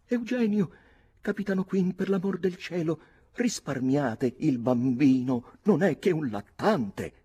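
Man putting on a high-voiced melodramatic young gentlewoman. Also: expressive, measured pace, husky, full character voice. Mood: frantic pleading. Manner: theatrical, gushing exclamations.

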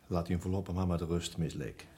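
A man's voice: low voice, fairly quick. A man acting in a radio drama as a sympathetic prison guard with a grave manner. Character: sympathetic male death-row prison guard. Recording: faintly noisy old radio recording.

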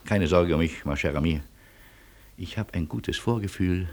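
An actor in a German radio play voicing a wry, courteous refugee who is resigned yet easily exasperated. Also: male voice, low voice, moderate pace. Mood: calm fatalism. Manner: ironic, hesitant, courteous.

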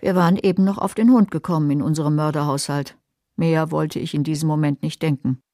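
A woman's voice: low-pitched, steady tone, brisk, mellow, a little hiss or hum. An actress playing a wry, scheming woman who narrates a radio drama in a dry, sardonic manner. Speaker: narrator and main character. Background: music.

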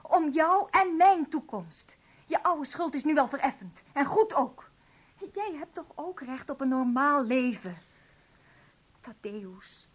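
Proud insistent partner, high-pitched, radio drama, challenging, sarcastic, ambitious. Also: female voice. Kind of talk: radio play acting.

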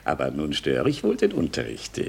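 An actor playing a genteel, teasing elderly father in a radio play, with a husky voice and a courtly, ironic manner. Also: rumbling low, huge pitch swings, moderate pace, heavily acted. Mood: courtly, amused. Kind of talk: German-language radio play acting.

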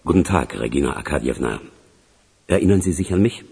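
An actor playing a polite, formal police officer in a radio crime drama. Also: male voice, very deep voice, animated, brisk, creaky, a little mellow, husky, heavily acted. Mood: polite, discreet.

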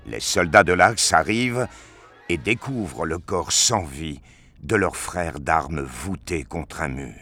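Very low male voice, slow, measured storytelling, sci-fi audio drama narrator. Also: expressive, bright, forceful tone, soft background music. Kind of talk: scripted narration of a science fiction audio drama.

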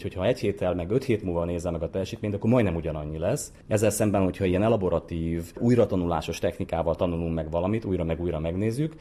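A man's voice: low-pitched, mellow. A man speaking as an academic expert in an interview, explaining research in an articulate, scholarly way. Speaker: psychologist and brain researcher, interview guest.

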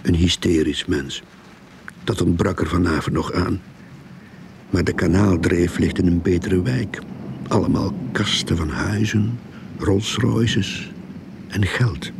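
An actor playing a weary, wry young novice detective, narrating his case in a radio play. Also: low-pitched. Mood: wry, brooding. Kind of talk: radio drama narration and dialogue. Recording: radio play with clear background noise.

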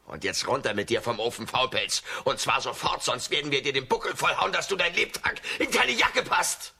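Gruff, gravelly, bossy peasant brother. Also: male voice, medium pitch, lively intonation, fairly quick, pushed and bright, exaggerated voice. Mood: taunting, threatening. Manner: commanding, blustering. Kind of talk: German children's radio play acting.

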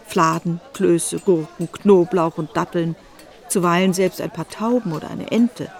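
A woman narrating a literary radio drama in a measured storytelling manner. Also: on the low side, darkish tone.

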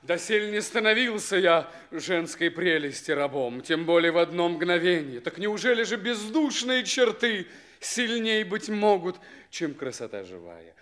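Proud young prince, sweeping theatrical declamation. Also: male voice, high voice, big pitch swings, a little slow, few pauses, fairly bright tone, full character voice. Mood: proud, defiant. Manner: grand, emphatic, declamatory. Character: proud young exiled prince, scornful then spellbound. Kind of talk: theatrical verse declamation, radio play.

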